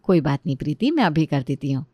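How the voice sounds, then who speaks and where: A woman voicing a patient elder daughter-in-law in a storybook tale, gently reassuring and soothing. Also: low voice, big pitch swings, fast talker, no echo, lo-fi sound, voice pushed down.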